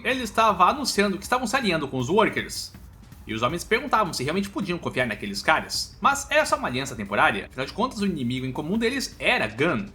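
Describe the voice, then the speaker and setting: A man's voice: high voice, pushed and bright. A man with a Brazilian accent narrating a story recap in an informal, colloquial, run-on way.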